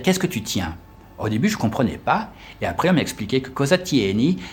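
A man from France in his fifties, chatting casually as a tour guide in an interview. His voice sits fairly low, and he stumbles over fillers and repeats words as he goes, with faint ambient noise behind him. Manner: hesitant, with many fillers and restarts.

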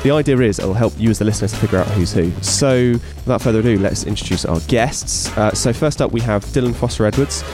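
Guy hosting a tech podcast, talks fast, lowish voice, playful and chatty. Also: over music. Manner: quick, chatty, playful questioning. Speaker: podcast host.

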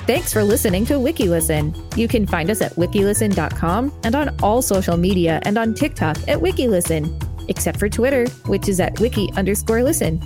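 A woman co-hosting a podcast, reading an article in a steady mid-range voice. She drops in wry, quick comments between passages. Faint music plays behind her. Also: mid-range voice. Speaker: podcast co-host. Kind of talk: reading an article aloud and chatting.

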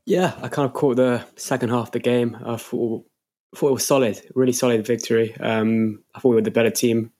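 A man's voice: medium pitch, steady tone, brisk, darkish tone. A man co-hosting a casual football podcast, sounding a bit nasal from a cold. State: stuffy nose from a cold. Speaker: podcast co-host.

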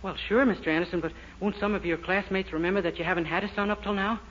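A man's voice: high voice, fairly even intonation, quick pace, a bit bright. A man playing a naive, eager, dim-witted young fiancé in a blundering, earnest way, in 1940s radio comedy style. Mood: eager, cheerful. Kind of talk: 1940s radio sitcom acting. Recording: muffled, noisy 1940s radio, studio audience.

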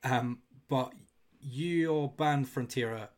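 A man's voice: mid-range voice, slow. A man hosting a podcast, asking questions casually and searching for his words. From Scotland. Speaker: podcast host.

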